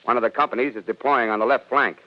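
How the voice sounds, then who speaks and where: A man's voice: mid-range voice, fast talker, lightly acted. A man acting as an army signal captain on an old radio play, sounding easy and sure of himself. The voice sits mid-range, and the recording is dull and lo-fi. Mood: confident. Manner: calm, dismissive, then rising alarm. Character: confident male army captain reporting from field. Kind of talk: 1930s radio drama acting.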